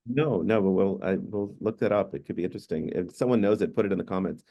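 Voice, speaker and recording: A man in his fifties, a native Hungarian speaker, moderating an online panel discussion over a video call and telling stories in a relaxed, measured way. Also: deep voice, highs cut off.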